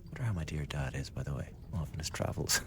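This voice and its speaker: An actor playing a glib, scheming young revolutionary in a radio drama, chatty and insinuating with a blackly flippant manner. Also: male voice, extremely low pitch, animated, fast talker, slight background noise. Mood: mock-sorrowful, sly. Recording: studio radio drama with background ambience.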